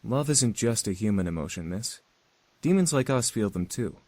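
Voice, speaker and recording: A man's voice: fairly low pitch, expressive, normal speed, a little mellow, no echo, natural voice. A man voicing a polite, scholarly male demon in a visual novel, speaking in a formal, courteous, explanatory way. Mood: earnest, lecturing. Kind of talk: visual novel character acting.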